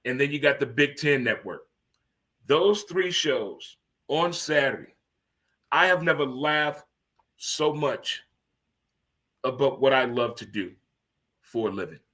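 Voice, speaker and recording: A man in his fifties hosting a livestream sports talk show, rambling and animated, teasing himself and asking "huh?". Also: on the high side. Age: 50s. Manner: talks to himself by name. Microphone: streaming studio mic.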